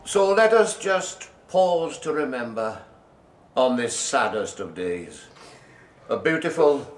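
Radio drama role: solemn clergyman, very slow, declamatory eulogy in an echoey chapel. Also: mid-range voice, big pitch swings, soft background music. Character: solemn, pious clergyman conducting a funeral.

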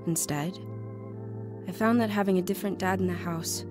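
A woman's voice: fairly low pitch, moderate pace, music in the background, natural voice. An actress playing a lonely, anxious young woman narrating her life story in an audio drama. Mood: reflective, sad. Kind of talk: first-person dramatic narration.

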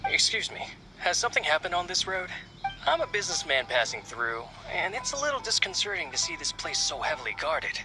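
An actor playing an anxious, earnest young servant in a video game, speaking in a worried, urgent way. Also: male voice, high voice, wildly swooping pitch, fairly quick, full character voice, rough recording. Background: game music.

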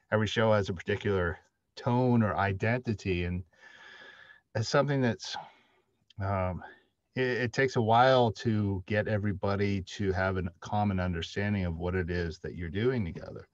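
A man's voice: lowish voice, normal speed, no room sound. A middle-aged man talking casually as a panelist, thinking aloud as he explains. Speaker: panelist, audio drama writer. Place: indoor room, on a video call. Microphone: video call microphone. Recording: dry, slightly dull video call audio.

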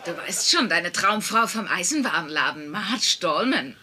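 A woman's voice: fairly low pitch, animated, normal speed, sharp tone, slightly rough, exaggerated voice. A woman voicing a blunt, teasing, persistent working-class wife in a dubbed sitcom, wry and probing. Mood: needling. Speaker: voice actor in a German sitcom dub.

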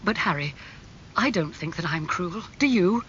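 A man voicing an anguished, impulsive young gentleman in an audiobook, earnest and pleading in manner. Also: high voice, expressive, moderate pace, dull audio, slight background noise. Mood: subdued. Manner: emotional, pleading.

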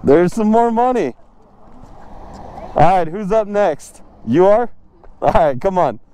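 Laid-back young man, mellow voice. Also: high-pitched, lively intonation, takes it slowly, clean tone, rough recording. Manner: laid-back, joking, laughs often. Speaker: motovlogger. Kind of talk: casual vlog chat. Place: outdoors on a residential street. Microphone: helmet-mounted action camera.

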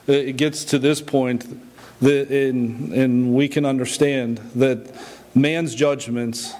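A man's voice: a little high, a little mellow. A man in his forties preaching a sermon and reading scripture, often repeating words and stumbling as he goes. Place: indoor church, at a wooden pulpit.

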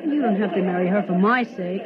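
A woman's voice: medium pitch, brisk, natural voice. A woman playing an earnest, protesting young boy with a plaintive manner in a 1950s radio drama. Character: eager, protesting young boy, kid brother. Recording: muffled 1950s radio recording, crowd behind.

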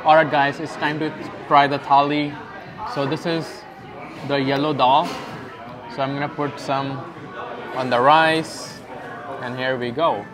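A man's voice: mid-range voice, a little slow, pauses often. A young man hosting a food vlog, speaking on a lapel mic in a restaurant dining room and describing each dish casually as he tastes it. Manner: relaxed, describes each dish while tasting and chewing. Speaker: food vlogger host. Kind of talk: casual food review narration. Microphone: clip-on lapel mic. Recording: restaurant crowd chatter behind. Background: diners chatting.

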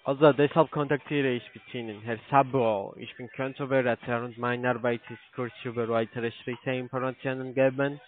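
A man's voice: mid-range voice, steady tone, moderate pace, few pauses, no room sound. A man with a foreign accent in German, working as a call centre account operator, formal and insistent on the phone. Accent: foreign, non-native German. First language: not German. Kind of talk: phone sales pitch. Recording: dull, noisy phone line with clicks.

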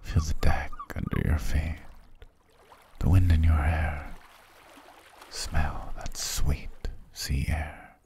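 Casting: male actor for a seductive siren posing as a castaway, extremely low gravelly voice, soft, soothing and sly, intimate ASMR roleplay. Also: steady tone, full character voice. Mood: hypnotic, soothing. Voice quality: breathy, husky, half-whispered. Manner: slow, soft, coaxing.

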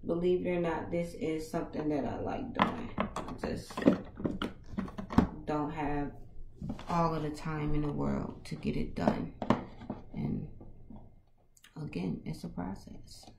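A woman in her thirties with a naturally raspy voice, hosting a casual livestream podcast from a small home studio on a headset mic, chatting loosely with viewers in slang. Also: low voice, expressive, takes it slowly, long pauses, echoey room, very noisy. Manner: laid-back, slangy, talks to viewers in chat. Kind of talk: casual livestream chat reading comments. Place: small home studio with foam panels, indoors. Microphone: over-ear headset mic.

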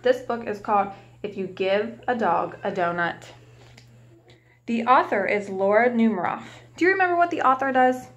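A woman's voice: a little high, animated. A young woman reading a picture book aloud to small children, like a preschool teacher asking them questions as she goes, recorded in a small room at home on a webcam. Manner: warm teacherly, asks the children questions and pauses. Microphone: webcam at arm's length.

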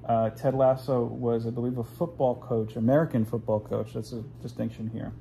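Guy in his 40s rambling at home, gravelly and mellow, phone mic, faint room hum. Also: mid-range voice, level tone, normal speed. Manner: rambling, chatty, lots of fillers. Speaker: host. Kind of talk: casual home video chat. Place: living room at home, indoors.

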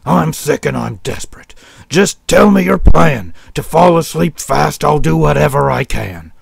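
A man's voice: fairly high pitch, animated, a little slow, vocal fry, husky, heavily acted. A man voicing a grumpy sick sheep in a storybook, stuffed up with a cold, grumbling and demanding. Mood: desperate. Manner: grumbling, whining, insistent. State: congested with a cold.

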